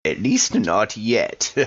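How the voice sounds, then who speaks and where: Male tabletop player, casual and conversational, fairly low voice on a slightly dull recording. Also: a bit bright. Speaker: podcast cast member and tabletop player. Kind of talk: casual chat.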